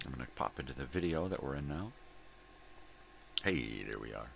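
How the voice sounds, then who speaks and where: A middle-aged man with a gravelly voice, rambling casually and folksily as he hosts a morning vlog, often repeating himself. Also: animated, normal speed. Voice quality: gravelly, very deep. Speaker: host of a daily vlog. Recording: clear background room noise.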